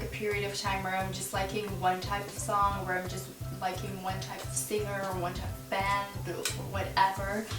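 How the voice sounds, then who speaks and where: A young Dutch woman of twenty-one rambles cheerfully to camera, giggling and filling gaps with likes and ums. She sounds set back from the mic in a roomy space, with music running underneath her voice. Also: medium pitch. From Netherlands. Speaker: YouTuber answering a tag. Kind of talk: casual chat to camera. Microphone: camera mic at a distance. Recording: roomy, distant, with music behind. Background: music playing under the voice.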